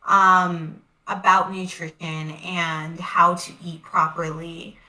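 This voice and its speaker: A woman in casual conversation, talking reflectively in quick bursts. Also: on the low side, flat delivery, sharp tone, far from the mic, rough recording. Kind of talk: casual chat.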